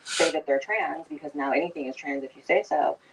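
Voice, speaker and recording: A debater makes an argumentative, emphatic case, caught on a microphone set some way off. The pitch sits mid-range and the voice has a slightly distant, open sound. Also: fairly quick, vocal fry, noisy background. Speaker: debate participant. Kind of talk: heated debate.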